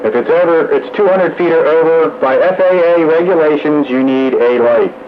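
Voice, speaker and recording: A man's voice: a little high, normal speed. An American man making casual, matter-of-fact conversation over a two-way radio. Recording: muffled, noisy two-way radio transmission.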